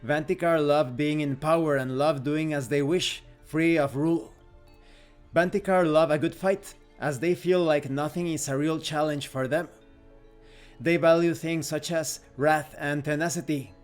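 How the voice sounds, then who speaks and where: A man's voice: a little high, fairly even intonation, measured pace, faint music behind. A man reading rulebook text aloud as scripted narration for a tabletop game review.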